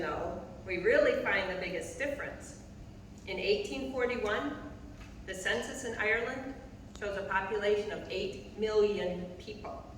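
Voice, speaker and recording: A woman giving a measured, prepared public talk as a co-author at a library event. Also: mid-range voice, fairly bright tone, slight background noise. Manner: measured, reads figures clearly, addresses audience. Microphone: distant room mic. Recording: echoey hall recorded from far off.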